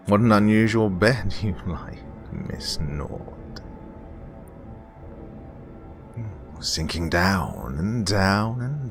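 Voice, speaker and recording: A man's voice: sits low, exaggerated voice. A man acting a suave, gloating murderous employer in a horror radio play, with oily politeness and fussy, menacing repetition. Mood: triumphant, sinister. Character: suave, gloating male employer who murders his housekeeper.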